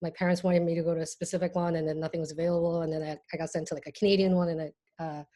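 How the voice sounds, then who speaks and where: A woman from South Korea telling her story in a relaxed way, with frequent fillers, in a casual panel conversation on a video call. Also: on the low side, racing. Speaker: artist guest.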